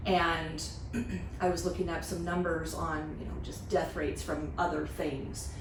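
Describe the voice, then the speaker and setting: A woman's voice: low voice, flat delivery, measured pace, recorded from a distance. An American woman in her forties hosting a casual podcast, blunt and emphatic, swearing freely. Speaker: podcast host. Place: small office meeting room. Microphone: podcast mic on boom arm. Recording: roomy, off-mic sound, faint noise.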